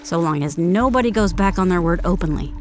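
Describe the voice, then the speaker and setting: Actress as formal scheming female leader, lively intonation, fantasy audio drama, faint music. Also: fairly low pitch, brisk, natural voice. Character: scheming female clan leader, loyal to her king.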